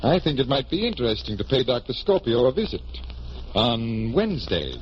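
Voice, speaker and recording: A man plays a suave magician detective in an old radio drama. He is wry and measured, with lively intonation at a middling pitch. The vintage recording sounds muffled and hissy. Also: natural voice. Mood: calmly instructing. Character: suave, teasing magician detective, calm leader. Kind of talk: 1940s radio acting.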